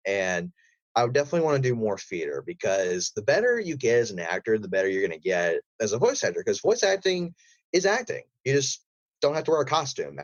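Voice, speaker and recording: A man with a mid-pitched voice chats casually as an interview guest, explaining things in a conversational way. The recording is close and dry, with no room sound. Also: no room sound, dull audio. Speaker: voice actor, podcast guest.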